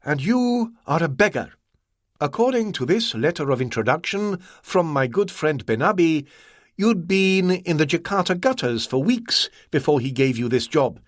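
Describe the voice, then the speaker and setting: Man playing a cold merchant, slow, clipped, formal, lifted pitch, dry close sound. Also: sing-song, no echo.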